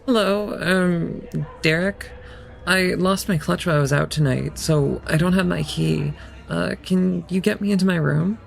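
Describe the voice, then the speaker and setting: A man's voice: sits high, measured pace, gravelly, exaggerated voice. A man playing a guarded, evasive young woman in an audio drama, hesitant and polite in the way of talking. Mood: hesitant, confused.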